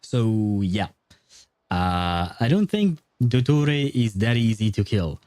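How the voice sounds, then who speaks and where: Mellow-voiced man chatting on a livestream. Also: fairly low pitch, big pitch swings, slow, no room sound, studio quality. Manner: informal, rambling, mild swearing. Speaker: livestream game streamer. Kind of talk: casual livestream chat and commentary. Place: indoor streaming setup, dry. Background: faint game music.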